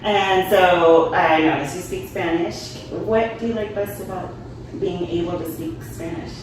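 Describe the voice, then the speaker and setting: Low-voiced woman interviewer in echoey room. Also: steady tone, a little slow. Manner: affirming, recaps answers. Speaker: interviewer, marketing host. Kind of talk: conversational interview. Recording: very echoey room, distant mic, noisy.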